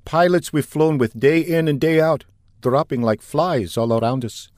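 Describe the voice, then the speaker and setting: A man's voice: fairly high pitch, normal speed, a little mellow, no echo, studio quality, voice pushed up, lightly acted. A man voicing a shaken, brooding male fighter pilot who speaks grimly and reflectively. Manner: grim and reflective.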